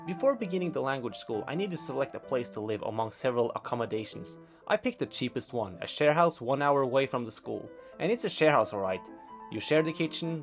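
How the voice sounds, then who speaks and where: A young man from Norway narrating his own vlog in a scripted voice-over with dry, deadpan humor. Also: mid-range voice. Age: young adult. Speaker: vlogger narrating his life.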